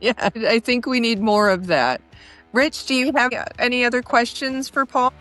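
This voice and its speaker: A woman hosting a relaxed, conversational interview as she talks with her guest. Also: on the high side, moderate pace, soft background music, low-quality recording. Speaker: interview host.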